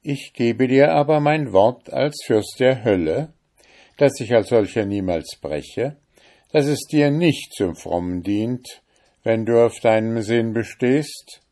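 A smooth, sly devil in a gentleman's guise, speaking slowly and with warning in a raised voice, as a man puts him on. He sounds measured and cunning, a fairy-tale prince of hell. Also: medium pitch, expressive, voice pushed up. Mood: pleading. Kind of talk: storybook reading.

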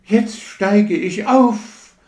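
A man's voice: mid-range voice, lively intonation, takes it slowly, a little mellow, voice pushed up. A man voicing a vain, pompous firework rocket that boasts with haughty, affected grandeur in a storybook tale. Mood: triumphant. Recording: roomy echo.

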